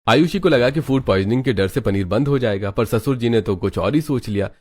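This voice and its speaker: A man narrating a cartoon story in a steady, scripted way. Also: mid-range voice, fairly quick.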